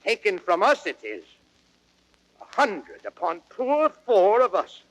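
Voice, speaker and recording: Blustering, high-pitched fat old knight. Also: male voice, huge pitch swings, takes it slowly, fairly bright tone, heavily acted, poor audio. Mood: boastful. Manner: blustering, declamatory, exaggerating. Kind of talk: old radio Shakespeare acting.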